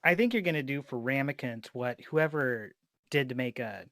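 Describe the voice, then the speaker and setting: A man's voice: medium pitch, moderate pace, sharp tone, dry recording, studio quality. A man interviewing a guest in an informal, meandering way, chatting and telling rambling anecdotes. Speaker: interviewer, host. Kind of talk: casual chat, rambling anecdotes.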